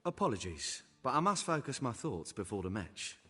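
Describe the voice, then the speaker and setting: Aloof, haughty card player. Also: male voice, fairly low pitch, big pitch swings, moderate pace, sharp tone, slightly rough, long reverb tail, exaggerated voice. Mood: cold, disdainful. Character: aloof, disdainful male tournament card player. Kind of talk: video game dialogue acting. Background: background music.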